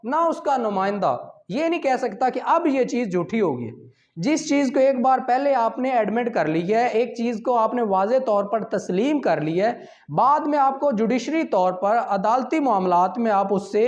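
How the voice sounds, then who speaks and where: A man's voice: very high-pitched, a little mellow. A man with a Pakistani accent giving an informal law lecture, explaining with examples, dropping in English terms and checking that students follow. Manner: explanatory, checks understanding with questions; mixes in English legal terms. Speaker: law teacher. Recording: dull lo-fi recording.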